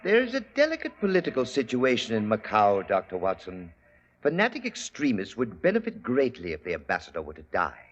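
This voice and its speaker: An actor playing a suave, menacing spice exporter in an old radio drama, speaking in a grandiose, courtly and deliberate way. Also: male voice, on the low side, wildly swooping pitch. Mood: polite menace. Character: suave, menacing male spice exporter, slightly hard of hearing. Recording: dull, band-limited old radio recording.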